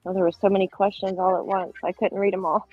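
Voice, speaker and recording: A woman's voice: medium pitch, level tone, quick pace, no echo. A woman speaking as a guest in a casual livestream chat. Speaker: panel guest. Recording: tinny phone-like line, music underneath.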